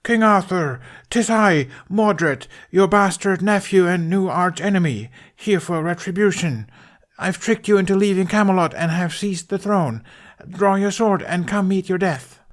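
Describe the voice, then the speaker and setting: A man's voice: high voice, a little slow, pitched above the performer's own voice. A man voicing a theatrical young woman who declaims grandly as queen and villain in a storybook stage play. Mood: defiant.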